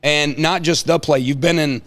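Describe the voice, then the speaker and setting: A man hosting a casual chat with high school seniors, asking questions in an informal, teasing, slangy way. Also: steady tone, moderate pace, fairly bright tone.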